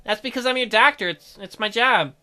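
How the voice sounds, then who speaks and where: A man voicing a gentle, flustered doctor in a visual novel, stammering and hesitant as he talks. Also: high-pitched, brisk, faint music behind. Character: gentle, flustered male doctor, self-described old man.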